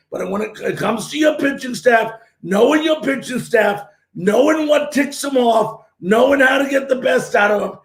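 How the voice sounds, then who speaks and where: A male sports talk show host who is losing his voice and hoarse, loud and opinionated, giving his views and calling play-by-play. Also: very high-pitched, roomy sound. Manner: loud and opinionated, often shouting.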